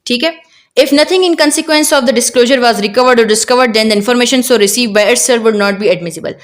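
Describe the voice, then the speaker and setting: Female lecturer, higher-pitched voice; explains step by step, restating points and posing questions to learners. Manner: didactic, repeats and rephrases points with rhetorical questions. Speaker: law lecturer. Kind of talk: explanatory law lecture mixing Hindi-Urdu and English.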